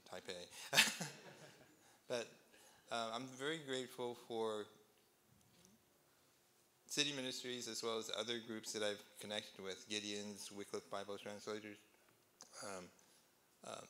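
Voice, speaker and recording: Bright-voiced man from Taiwan. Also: fairly low pitch, a little hiss or hum. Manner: rambling, friendly, halting. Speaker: teacher. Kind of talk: audience testimony.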